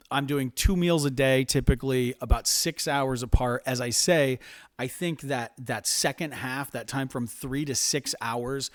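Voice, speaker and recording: An American man giving an informal, explanatory health presentation in a measured, methodical way. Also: mid-range voice, no room sound, studio quality. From United States.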